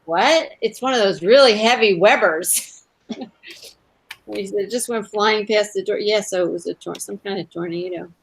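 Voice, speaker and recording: Brooklyn lady hosting a casual hangout, bit of gravel and fry in her voice. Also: mid-range voice, normal speed, a little hiss or hum. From Brooklyn, New York. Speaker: host of a live crafting hangout. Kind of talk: casual chat with a friend and online viewers.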